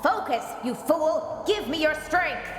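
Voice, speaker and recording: An actress playing a commanding, alarmed villainess in a video game cutscene, with an urgent, dramatic, imperious delivery. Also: female voice, high-pitched, expressive, slow pace, reverberant, in a large hall, very noisy. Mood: desperate, commanding. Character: commanding, alarmed villainess wrestling her inner demon.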